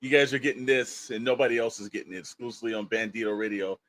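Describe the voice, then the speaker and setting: A man's voice: medium pitch, quick pace, a bit bright. A man with a mid-range voice co-hosts a show in loose, casual talk. He is colloquial and emphatic, speaking straight to the listeners. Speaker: podcast co-host. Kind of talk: casual chat.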